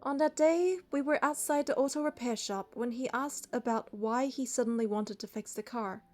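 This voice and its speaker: A woman's voice: on the high side, moderate pace, faint music behind, close to an everyday voice. A woman voicing a young female hunter and bodyguard, narrating a romance game in an earnest, lightly teasing way. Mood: reflective. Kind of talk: scripted first-person game narration and dialogue.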